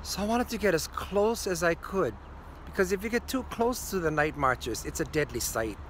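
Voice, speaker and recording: An older man with a Hawaiian accent telling a ghost story to the camera, slowing down and going quiet at the key moments. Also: high-pitched, lively intonation, a little hiss or hum. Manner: slow, hushed at key moments. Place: green screen studio. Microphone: facing camera at close range.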